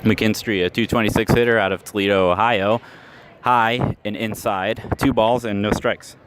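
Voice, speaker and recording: Male baseball announcer at a noisy ballpark. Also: on the low side. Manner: steady, measured calls that lift sharply on big plays. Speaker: play-by-play announcer. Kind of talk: radio baseball play-by-play commentary. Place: ballpark stands, outdoors. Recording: live ballpark recording with outdoor noise.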